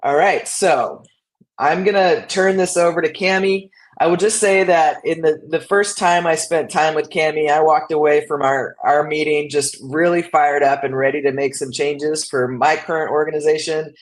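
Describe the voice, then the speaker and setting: A man opens a business webinar and hands over to the guest speaker. He sounds upbeat, with the odd stumble and restart. His voice sits a little high for a man. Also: steady tone, normal speed, poor audio. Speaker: webinar host. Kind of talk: introducing a presentation.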